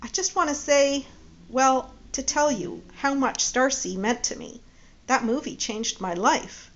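A woman's voice: a little high, moderate pace, natural voice. Woman narrator doing a keen, earnest young guy, lo-fi muffled sound. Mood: enthusiastic. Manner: eager, enthusiastic. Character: enthusiastic earnest young man, Sean. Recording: dull lo-fi audio.

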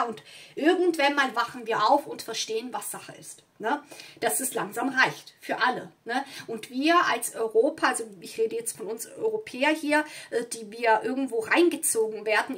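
A woman's voice: on the high side, a bit bright. A woman who is not a native German speaker, giving a casual, rambling tarot reading at a table indoors, with many fillers and asides. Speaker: tarot reader. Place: indoors at a table with candles and flowers.

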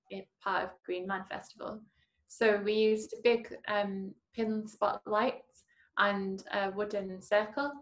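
A woman from Manchester, an artist explaining her gallery's exhibitions on a video call, with some fillers. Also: mid-range voice. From Manchester, England.

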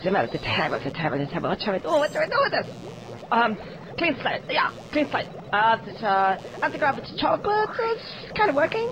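Flustered German mad weasel scientist. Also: male voice, sits high, noisy background. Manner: flustered, stammering, grumbling. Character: cowering, muttering, foul-mouthed mad weasel scientist, male.

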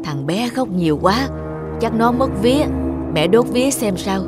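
A woman's voice: fairly high pitch, animated, normal speed, over music, close to an everyday voice. Doting old granny played by a woman. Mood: worried. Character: doting, frugal, old-fashioned elderly village grandmother. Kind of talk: cartoon dialogue.

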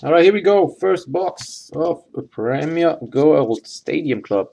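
A man giving casual, halting live commentary as he hosts a sports card box break, matter-of-fact in manner. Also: fairly high pitch, lively intonation, gravelly, dark tone, a little hiss or hum. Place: indoors at a tabletop.

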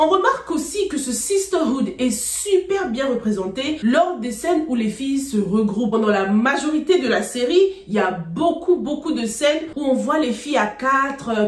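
A woman's voice: on the high side, echoey room. A woman in her thirties from Cameroon with a Cameroonian French accent, talking straight to her viewers in a chatty, emphatic review. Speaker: series reviewer. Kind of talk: casual video review. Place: small indoor room with bookshelves.

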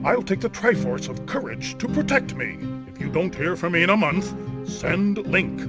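The self-important father on a cartoon game's throne, declaiming in high, swinging tones. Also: big pitch swings, moderate pace, over loud music. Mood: grand, resolute. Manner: pompous, declamatory. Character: pompous middle-aged cartoon king and father. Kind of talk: cartoon video game cutscene acting.

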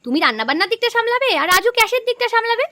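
A woman's voice: extremely high pitch, sing-song, rapid-fire, bright, forceful tone, no echo, studio quality, full character voice. A woman voicing a caring, practical older village mother-in-law in a cartoon, with a matter-of-fact manner. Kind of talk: cartoon dubbing.

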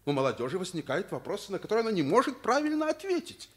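An actor playing a thoughtful, humane school principal, earnest and reasoning, in Soviet radio theatre. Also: male voice, high-pitched, wildly swooping pitch, quick pace, slightly rough, exaggerated voice. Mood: earnest, firm.

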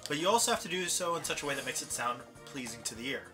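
A man's voice: fairly high pitch, animated, rapid-fire, bright, forceful tone. Rambling man chatting over game music. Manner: rambling, laughs often, reads character lines in a lifted voice. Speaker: let's play host. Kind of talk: casual gaming chat with friends.

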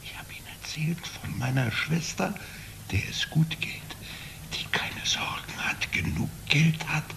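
An actor playing a bitter, desperate middle-aged gambler and drinker in a crime radio play, gruff and agitated. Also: male voice, on the high side, lively intonation, unhurried, whispered, exaggerated voice. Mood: broken, despairing. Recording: noticeable background noise, old broadcast audio.

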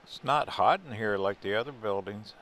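A man's voice: lowish voice, normal speed, fairly bright tone, a little hiss or hum. An older man narrating a casual walk through a museum as a travel vlogger, easygoing and wry with chuckling asides. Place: indoor museum buildings.

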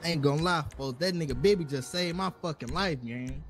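A man's voice: a little high, a little fast, slight background noise. A man chatting casually in slang as he plays a crime role-play game with others. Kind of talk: casual gaming chat.

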